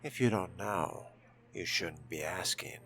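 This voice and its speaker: A man roleplaying a brooding, calculating vampire fledgling in a video game, musing to himself in measured, formal phrases. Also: deep voice, fairly bright tone. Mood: cool, curt. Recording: clear background game noise.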